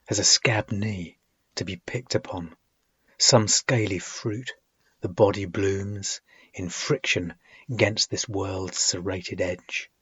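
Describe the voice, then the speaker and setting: A man giving a solemn poetry reading, deliberate and measured, with dramatic phrasing, long pauses and husky, breathy phrase endings. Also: low-pitched, a bit bright, dry recording, dull audio. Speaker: poet reading own work.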